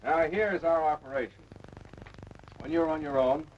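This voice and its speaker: A man's voice: a little high, lightly acted. Seasoned wartime officer played by a man, dull old soundtrack. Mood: cautionary. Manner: instructive, measured, authoritative. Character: seasoned, patient air force officer instructing a green pilot. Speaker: actor in a wartime training film. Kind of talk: 1940s military training film acting. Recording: noisy dull vintage film soundtrack.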